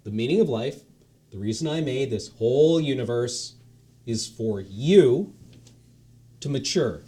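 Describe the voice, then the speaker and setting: A man's voice: medium pitch, lively intonation, a little slow, dark tone, close to an everyday voice. A man reading the lines of a casual, patient male God who talks like a teacher. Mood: offhand. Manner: breezy and offhand, teacherly.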